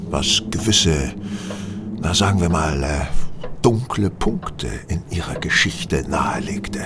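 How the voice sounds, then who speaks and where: Shrewd male detective, creaky, animated pitch, measured eloquence, audio drama, loud noise behind. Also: full character voice. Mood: grave, explanatory. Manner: measured, precise, eloquent explanation. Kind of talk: German audio drama acting.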